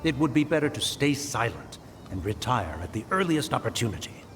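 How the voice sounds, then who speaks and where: A man's voice: mid-range voice, very animated, fairly quick, slight background noise, natural voice. A man voicing a stern, anxious immortal in a video game, speaking in archaic, reproving tones. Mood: scolding. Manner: archaic, formal, reproving diction. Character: stern, anxious, scolding male immortal adeptus.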